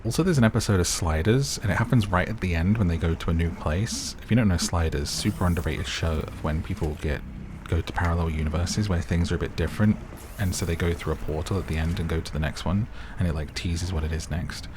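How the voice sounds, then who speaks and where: A man's voice: low voice, quick pace, hardly any pauses, background noise. A man hosting a let's-play with chill, rambling commentary and self-deprecating asides, his voice a little worn today. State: slightly losing his voice. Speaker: gaming let's-play host. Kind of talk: chill casual gameplay commentary. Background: background music.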